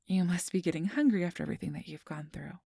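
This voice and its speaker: A woman playing a kindly, apologetic registration clerk in an ASMR roleplay, speaking softly and often in a whisper with a gentle, reassuring manner. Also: fairly low pitch, quick pace, dry recording, studio quality. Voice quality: soft, breathy, often whispered. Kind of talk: ASMR roleplay, soft whispery speech to the listener.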